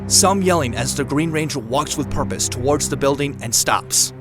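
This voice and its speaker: A man's voice: medium pitch, moderate pace. A man reading scripted dramatic narration for an audio drama, describing action scenes as a storyteller. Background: clear dramatic music.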